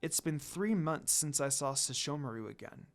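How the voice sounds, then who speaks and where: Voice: medium pitch, lively intonation, moderate pace, faint music behind, voice pushed down, natural voice. A reader voicing a sad, defiant young woman from a fan story in an emotional, dramatic way. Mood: sad, regretful.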